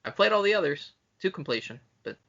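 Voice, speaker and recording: A man's voice: high-pitched, big pitch swings, fast, gravelly, fairly bright tone, muffled recording. A man co-hosting a podcast in casual fan chat. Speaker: podcast co-host.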